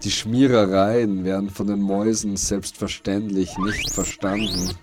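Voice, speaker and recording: Slow, deep-voiced male narrator. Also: little pitch movement. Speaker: radio play narrator. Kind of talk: scripted literary narration of a dystopian radio play. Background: prominent music behind the voice.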